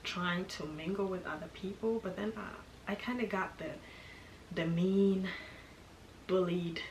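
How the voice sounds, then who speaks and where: A young woman from Kenya tells a personal story in a relaxed, chatty way, her voice sitting a little low. She laughs often. The room is echoey, and steady background noise sits behind her. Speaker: vlogger. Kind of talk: casual storytime chat.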